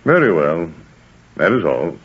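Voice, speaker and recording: Curt, haughty Roman official, animated delivery. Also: male voice, low voice, a little slow, slightly rough, heavily acted. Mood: curt, softening. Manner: curt, officious, world-weary. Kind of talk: 1940s radio drama acting. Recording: dull, muffled 1940s radio recording.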